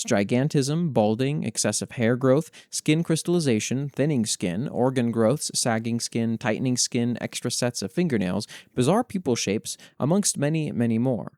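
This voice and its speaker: A man's voice: medium pitch, darkish tone, no echo, studio quality. A man hosting a show, reading written fantasy material aloud and adding casual commentary. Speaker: host reading and reviewing listeners' fantasy writing.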